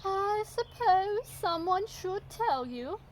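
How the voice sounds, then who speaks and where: A woman voicing a contented, proud female turtle in a children's storybook, drawing words out slowly and deliberately. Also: very high voice, expressive, slight background noise, pitched above the performer's own voice, exaggerated voice, rough recording. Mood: frank. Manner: drawn-out, deliberate delivery. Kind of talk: children's storybook read-aloud.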